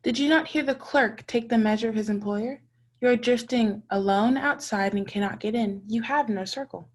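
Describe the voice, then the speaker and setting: A woman's voice: on the high side, normal speed, close to an everyday voice. A young woman acting a stern, scornful spirit in a radio play, with solemn, declamatory delivery. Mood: stern, commanding.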